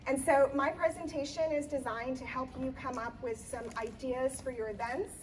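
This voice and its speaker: A slightly hoarse woman gives a chatty conference talk, pitched a little high. She fills pauses with ums and restarts. She sounds a bit distant from the mic, with faint room ambience behind her. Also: steady tone, a little hiss or hum. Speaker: event planner giving a conference talk. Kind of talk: live presentation to an audience.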